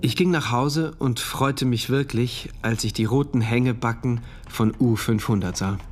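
A man's voice: medium pitch, close to an everyday voice. An actor playing an earnest, obedient citizen of a totalitarian state, narrating his diary formally in a radio drama. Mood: eager, agitated. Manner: formal, measured, literary. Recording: music behind the voice. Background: music.

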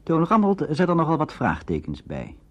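Male documentary narrator, scripted and animated in intonation, on a muffled archival radio tape. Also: fairly high pitch, normal speed. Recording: dull archival radio recording.

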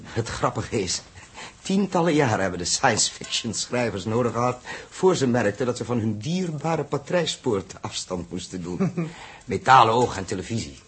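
An actor playing a composed, practical spaceship captain in a 1960s radio drama, with a husky voice. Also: male voice, mid-range voice, very animated, moderate pace, fairly bright tone, heavily acted. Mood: calm, explaining. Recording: 1960s radio play recording.

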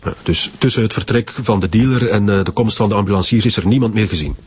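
An actor playing a bossy police chief who hems between orders, in a naturalistic radio drama, with a Flemish accent. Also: male voice, lowish voice, fast, close to an everyday voice. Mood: brisk, commanding. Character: male police chief, brisk and bossy, hesitant phrasing. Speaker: actor in a radio crime serial.

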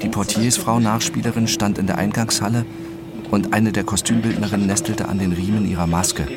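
An actor playing a detached, methodical first-person narrator in a radio drama, measured, precise and matter-of-fact. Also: male voice, fairly low pitch, steady tone, over loud music, natural voice.

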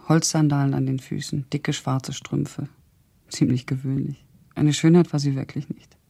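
Educated young russian woman in a radio drama, gravelly soft-dark voice, thoughtful precise storytelling. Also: a bass voice, heavily acted. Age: young adult. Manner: reflective, precise, descriptive storytelling. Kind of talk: radio drama monologue as recollection.

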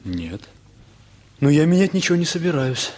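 A man's voice: a little high, big pitch swings, normal speed, darkish tone. An actor playing a self-assured, dry teenage boy with a curt manner in a radio play. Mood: curt, cool. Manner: curt, dismissive.

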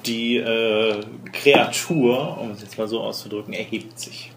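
A man's voice: lowish voice, steady tone, a little slow, roomy sound, a little hiss or hum. A man narrating a tabletop roleplaying game as game master, improvising and searching for words.

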